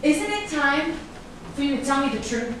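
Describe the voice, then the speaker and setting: A woman's voice: high voice, animated, normal speed. A woman playing a wronged, defiant partner in a staged drama, accusing and emphatic, in a very echoey room. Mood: hurt, accusing. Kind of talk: staged play reading, dramatic dialogue. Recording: very echoey room, distant mic, faint noise.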